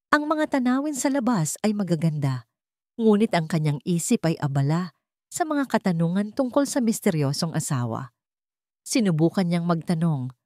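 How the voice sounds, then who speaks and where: A woman storyteller narrates a romance drama in a soft, mellow tone. Her voice sits on the lower side, and the recording is close and dry. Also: no echo.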